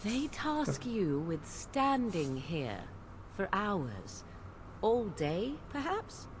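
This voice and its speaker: A woman's voice: medium pitch, sing-song, drawn-out words, background noise. An actress playing a haughty, sly young witch in a fantasy video game, speaking with scornful, condescending mockery. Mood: mocking. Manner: scornful, bluffing with smooth mockery.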